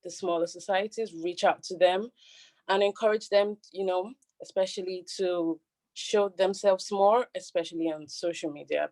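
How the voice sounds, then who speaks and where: Woman candidate answering, says like a lot, kinda low voice. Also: mellow. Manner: repetitive, filler-heavy. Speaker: student candidate. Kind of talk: answering a hustings question.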